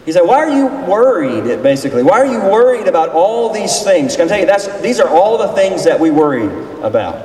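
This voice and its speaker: A man's voice: high voice, big pitch swings, fairly quick, hardly any pauses, a little mellow. A man in his forties preaching informally as a pastor, folksy and conversational as he engages the people in front of him. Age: 40s. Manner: folksy, conversational, questions the audience. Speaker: pastor leading a seminar session. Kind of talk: informal sermon with audience interaction. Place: large reverberant room, speaking to a group. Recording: distant echoey hall with noise.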